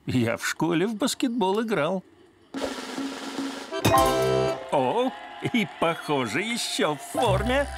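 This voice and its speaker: A good-natured middle-aged cartoon dad. He muses sensibly at a leisurely pace, his pitch swinging dramatically, with a touch of pride. Music plays behind. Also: big pitch swings, measured pace, full character voice. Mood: musing, pleased. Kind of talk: animated cartoon acting.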